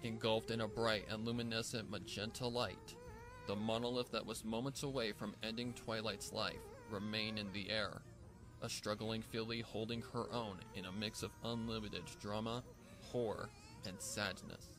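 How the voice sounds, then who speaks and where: Male narrator for dramatic fan fiction, lowish pitch, measured and theatrical, music underneath. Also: steady tone. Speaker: story narrator. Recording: music bed behind the voice. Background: background music.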